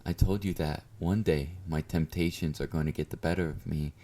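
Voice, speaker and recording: A man's voice: a bass voice, fairly even intonation, fairly quick, warm tone. A man playing an obsessive, clingy yandere fan in an intimate roleplay monologue, speaking to the listener with doting, flirty confidence. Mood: confident, doting. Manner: doting, confident, coaxing with pet names.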